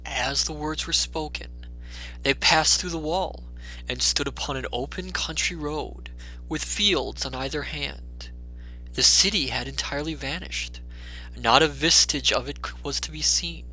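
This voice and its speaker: A man's voice: a little high, measured pace, sharp tone, faint music behind, rough recording. A man narrating a classic story in a dramatic audiobook style.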